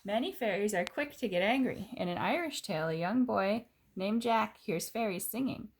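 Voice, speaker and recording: A woman reading a children's picture book aloud in an expressive, clearly phrased way, with casual asides. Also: medium pitch, normal speed, slight background noise.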